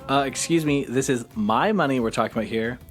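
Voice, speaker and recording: A man's voice: mid-range voice, a little fast, faint music behind, lightly acted. A man playing a sarcastic, exasperated friend who is easily incredulous, in a comedic audio drama.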